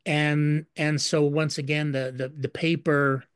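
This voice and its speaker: Measured man, dry close sound. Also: on the high side, flat delivery, smooth, dry recording. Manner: measured, many fillers and repeated words. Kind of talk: interview answer, explanatory.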